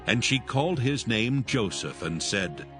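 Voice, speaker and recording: A man's voice: mid-range voice, sing-song, a little slow, fairly bright tone, soft background music. A man narrating scripture from a script in a solemn style.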